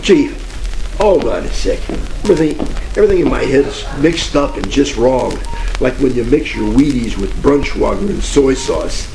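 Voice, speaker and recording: A man's voice: medium pitch, animated, moderate pace, creaky. A man playing a groggy, confused police detective in a parody radio drama, hesitant and stammering. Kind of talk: parody old-time radio detective comedy acting. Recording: echoey old-radio parody with music.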